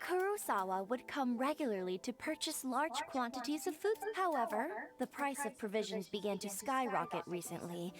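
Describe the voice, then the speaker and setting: A woman's voice: on the high side, big pitch swings, normal speed, hardly any pauses. An actress voicing a polite, composed woman merchant in a video game, explaining things in formal wording. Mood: polite, knowing. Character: polite, composed woman merchant who shares information knowingly.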